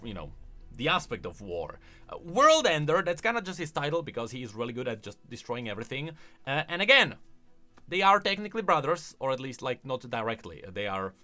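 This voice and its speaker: A man's voice: medium pitch, pushed and bright. A man hosting a video, giving off-the-cuff, emphatic commentary that explains game lore.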